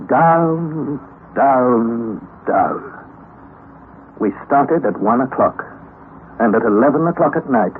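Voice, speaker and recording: Earnest young narrator on tinny old radio. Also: male voice, mid-range voice. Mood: measured narrating. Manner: measured, theatrical storytelling. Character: earnest young nephew recounting a perilous expedition. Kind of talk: dramatic first-person narration, old-time radio acting. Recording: thin, band-limited vintage radio recording, noisy.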